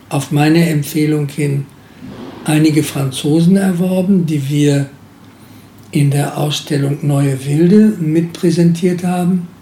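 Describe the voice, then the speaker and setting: A man's voice: a little high, gravelly, dark tone, echoey room, slight background noise. An older man, a former museum director, recalling his past work in an interview, hesitant and full of filler sounds. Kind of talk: interview recollection.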